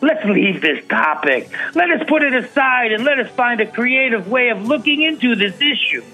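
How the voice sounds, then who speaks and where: A man voicing a polite but firm Mexican president in a comedic reading, speaking formally and deliberately. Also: extremely high pitch, big pitch swings, bright, forceful tone, soft background music, voice pushed up, full character voice, poor audio. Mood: courteous, firm. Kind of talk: comedic dramatic reading over music.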